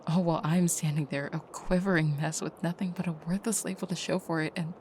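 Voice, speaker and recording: A woman playing a tender, soft-spoken vampire girlfriend in an intimate audio roleplay, with a breathy near-whisper and gentle, slightly formal phrasing. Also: low-pitched, fairly even intonation, a little fast, slight background noise, lightly acted. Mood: anxious, self-doubting.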